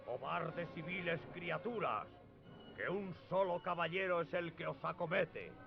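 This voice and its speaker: A bold, deluded knight of radio theatre. He declaims grandly and very slowly in a raised, gravelly voice. The sound is dull, with clear music behind him. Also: on the high side. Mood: defiant challenge. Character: bold, deluded knight shouting challenge at giants.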